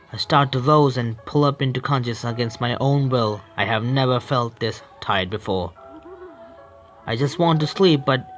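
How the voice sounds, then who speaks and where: A man with an Indian English accent, a gaming streamer reading a horror visual novel aloud, with halting restarts. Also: medium pitch, fairly bright tone. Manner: halting, stumbling read with restarts.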